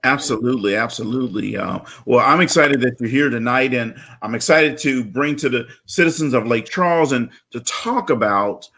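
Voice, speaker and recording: A man's voice: mid-range voice, moderate pace, few pauses, sharp tone. A man with a mid-range voice hosts an interview on a video call. He talks conversationally, repeating words as he affirms what he hears. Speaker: talk show host, interviewer.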